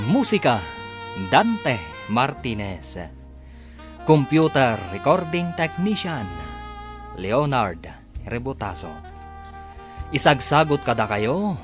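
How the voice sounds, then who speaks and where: A man formally announcing the title and credits of a radio drama. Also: medium pitch. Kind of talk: scripted announcing.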